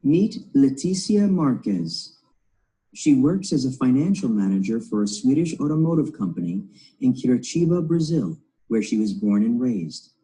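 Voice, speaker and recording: Man reading a textbook passage, lively. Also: medium pitch, moderate pace, rough recording. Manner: reading a textbook article aloud.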